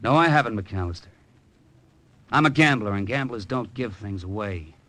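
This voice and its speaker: An actor playing a tough, cynical gambler with a sentimental streak in a laconic, slangy old radio drama style. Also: male voice, lowish voice, lively intonation, moderate pace, a bit bright. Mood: cool, challenging. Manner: hard-boiled, slangy, laconic. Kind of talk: 1950s radio drama acting.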